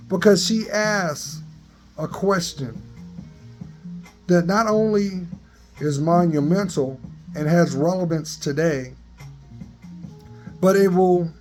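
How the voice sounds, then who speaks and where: A man in his fifties with a dry voice, giving a slow, earnest motivational talk into a phone in a garage. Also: high-pitched, darkish tone. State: dry voice, coughing. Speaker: vlogger. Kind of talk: motivational selfie vlog. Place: open garage, daytime. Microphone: phone at arm's length.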